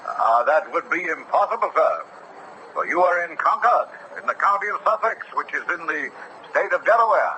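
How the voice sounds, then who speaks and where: An actor playing an emphatic, deferential old-time gentleman with a formal manner in a radio drama. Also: on the high side, very animated, moderate pace. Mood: matter-of-fact. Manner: formal, emphatic, punctuated with "sir". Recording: noisy vintage radio drama broadcast.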